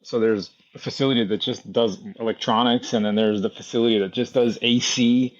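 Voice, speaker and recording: A man in his thirties from Brazil, a podcast guest talking casually about running a repair shop, relaxed and repeating himself, often saying "man". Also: medium pitch, fairly quick, lo-fi sound. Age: 30s. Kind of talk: casual conversation.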